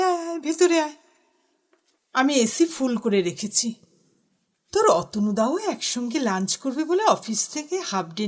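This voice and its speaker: Doting married elder sister, fussing and teasing, animated high pitch, unhurried. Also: female voice, heavily acted. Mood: fussing, affectionate. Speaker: supporting character. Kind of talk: audio drama acting.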